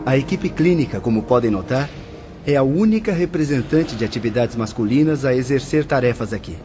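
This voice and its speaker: A man with a Brazilian accent playing a calm, instructive spirit guide in an audio drama. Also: mid-range voice, brisk, soft background music, close to an everyday voice. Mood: calm instructing. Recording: muffled, clearly noisy audio.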